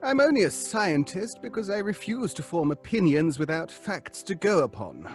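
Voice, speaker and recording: An actor playing an earnest, pedantic professor leading a seance in a radio drama, speaking precisely with hesitant ums. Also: male voice, sits high, sing-song, moderate pace, a little mellow, soft background music. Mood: pedantic, measured. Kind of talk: old-fashioned radio drama acting.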